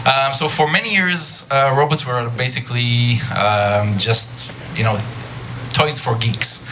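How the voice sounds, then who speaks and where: A man's voice: mid-range voice, unhurried. A man with an Israeli accent giving a live tech talk to an audience, hesitating often with ums and uhs. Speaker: speaker at a nerd talk night. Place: bar venue before an audience. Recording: noisy live room.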